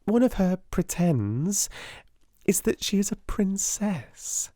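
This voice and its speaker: A man's voice: sits high, very animated, slow, dark tone, dry recording, studio quality, pitched above the performer's own voice, exaggerated voice. A man voicing a giggly, gossipy, teasing schoolgirl in a storybook. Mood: eager gossip.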